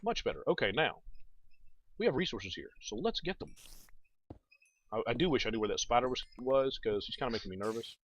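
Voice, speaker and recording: A man's voice: medium pitch, lively intonation, fast talker. A man giving casual gameplay commentary as a let's play host, thinking aloud and talking to viewers. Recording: dull, noisy home recording.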